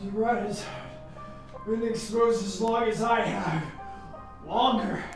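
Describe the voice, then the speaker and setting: A performer playing a dizzy scientist who stays calm after exposure, in a radio play. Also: mid-range voice, slow. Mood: dazed. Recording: very echoey, distant, music behind.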